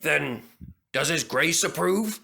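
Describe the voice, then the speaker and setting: A man's voice: a little high, animated, takes it slowly, gravelly, pushed and bright, full character voice. Man voicing a prim, proud old steam engine. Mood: pleased, fussy. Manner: prim and dignified. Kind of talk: children's storybook character acting.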